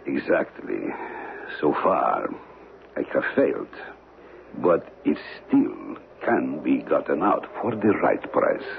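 An actor playing a shady, calculating smuggler in a radio mystery, speaking deliberately and formally with dramatic pauses. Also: male voice, rumbling low, sing-song, creaky, heavily acted. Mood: scheming, persuasive. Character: shady, calculating male smuggler of stolen antiquities. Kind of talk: old-time radio mystery acting. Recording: muffled, noisy old radio broadcast recording.

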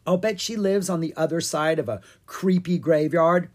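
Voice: mid-range voice, moderate pace, voice pushed up, lightly acted. A storybook reader voicing a cheeky, hungry young boy dragon. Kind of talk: animated children's storybook character reading.

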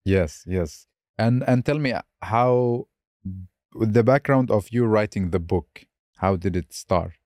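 Man, very low voice, friendly podcast host, dry close sound. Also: slow pace, darkish tone, no room sound. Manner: friendly, upbeat, laughs easily. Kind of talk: casual interview hosting. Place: Stockholm.

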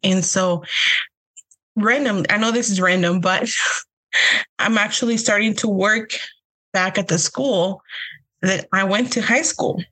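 A young woman with a Honduran accent talks slowly and unhurriedly as a podcast guest. She pauses often and leans on fillers, and laughter keeps slipping into her casual chat. Her pitch sits in an ordinary middle range. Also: bright, forceful tone, slightly rough, poor audio.

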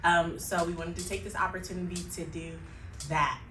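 An echoey recording with faint noise carries an American woman's voice from a little distance. Her pitch is slightly low for a woman, and she speaks as an interviewer in a conversational way. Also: fairly even intonation, normal speed, a bit bright. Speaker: interviewer, church social media member.

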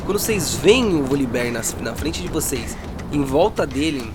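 A man's voice: on the high side, lively intonation, moderate pace, background noise. A man narrating a tabletop game as game master, describing scenes dramatically. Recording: with music bed.

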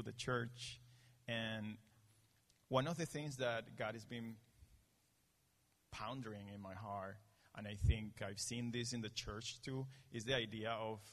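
Venezuelan-accented man preaching in a reverberant gym. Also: lowish voice. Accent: Venezuelan Spanish. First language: Spanish. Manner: earnest, halting, frequent restarts, grows animated. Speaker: guest preacher. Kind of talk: sermon with personal story. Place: church meeting in a gym.

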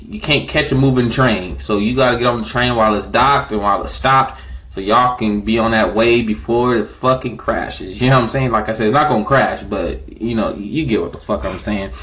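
A man's voice: on the low side, a bit bright. A man hosting a music podcast in a casual, slangy, profane and repetitive way. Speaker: podcast host.

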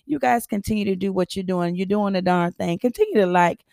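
A hoarse older woman hosting a casual motivational podcast, her voice husky and raspy, chatty with lots of ums and easy laughs. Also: mid-range voice, fairly quick, darkish tone, dry recording. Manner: chatty, laughs often, many ums and uhs. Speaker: podcast host. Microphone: podcast microphone.

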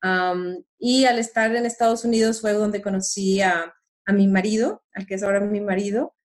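A woman's voice: medium pitch, steady tone, moderate pace. A woman answering interview questions in a friendly, hesitant way, with frequent fillers and restarts. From Monterrey, Mexico. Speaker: interview guest.

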